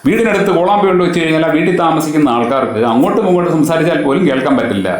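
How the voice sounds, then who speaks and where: A man's voice: on the high side, lively intonation, very fast. A man delivering an admonishing, emphatic opinion monologue straight to the public, posing rhetorical questions. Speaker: social commentator. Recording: very echoey, reverberant room.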